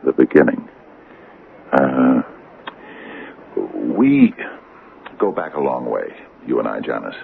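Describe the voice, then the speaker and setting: A very low, gravelly small-town man in an old radio drama, on a band-limited recording. He is earnest and desperate, stumbling over his words with wide swings of emphasis. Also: very animated, phone-quality sound, slight background noise, heavily acted. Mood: hesitant, awkward. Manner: halting, stammering, urgent. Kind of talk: 1980 radio drama acting.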